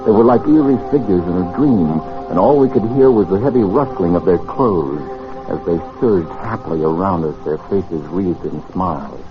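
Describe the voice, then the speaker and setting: An actor playing a wary, gentle time traveller who narrates and talks in a dramatic old radio style. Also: male voice, low-pitched, animated, moderate pace. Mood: solemn storytelling. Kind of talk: 1940s-50s radio acting, dramatic narration. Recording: dull old radio recording, music underneath.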